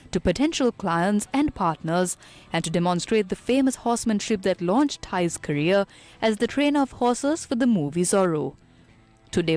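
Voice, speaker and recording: A woman reading a scripted news report as voice-over, smooth and measured. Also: medium pitch, darkish tone.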